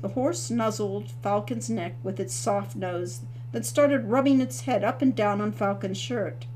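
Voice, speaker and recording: A woman author reading her novel aloud as the narrator, in a steady storytelling manner. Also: mid-range voice, a little slow, slight background noise, rough recording. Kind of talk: scripted audiobook narration.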